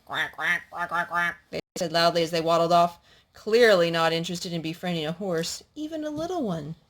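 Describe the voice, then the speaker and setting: Female, mid-pitched, expressive mother reading and singing for little children. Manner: expressive, speaking to small children with pet names. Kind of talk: sing-song children's storytime with read-aloud and songs.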